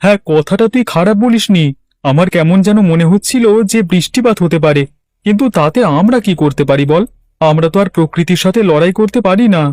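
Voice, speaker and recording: A man's voice: high voice, brisk, darkish tone, no room sound, lightly acted. A man voicing a friendly, eager village shopkeeper uncle in a cartoon, chatty and obliging. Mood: agreeable. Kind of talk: Bangla cartoon voice acting.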